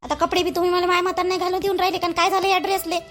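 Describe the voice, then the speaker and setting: Woman as a defiant, high-voiced young wife. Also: little pitch movement, very fast, fairly bright tone, faint music behind. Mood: indignant. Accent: Vidarbha Marathi. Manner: argumentative, rhetorical questions. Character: defiant young married woman, indignant, outspoken. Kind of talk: cartoon voice acting.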